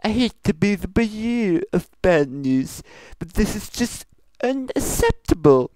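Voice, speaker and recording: A stern royal pony princess, as acted out by a fan fiction reader, handing down formal reproaches. The pitch sits raised and swings widely. The sound is close and dry. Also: sing-song, a little slow, mellow, dry recording. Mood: indignant. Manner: pompous, formal, scolding. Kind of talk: fan fiction comedy reading.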